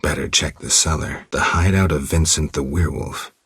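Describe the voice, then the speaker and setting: A man playing a gruff, laconic monster hunter for hire in a video game, speaking tersely with a dry, deadpan delivery and a gravelly rasp. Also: a bass voice, normal speed, no room sound, heavily acted. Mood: wry, brooding. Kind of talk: video game dialogue acting.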